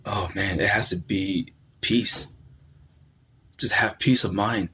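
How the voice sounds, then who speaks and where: A middle-aged man from California, a podcast guest talking in a relaxed, reflective way and often repeating words as he searches for them. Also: lowish voice, slow, breathy edge, poor audio. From California, USA. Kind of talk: casual conversational interview.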